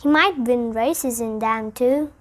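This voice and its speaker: A child reads a children's picture book aloud, deliberately, phrase by phrase. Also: mid-range voice. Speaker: storybook reader.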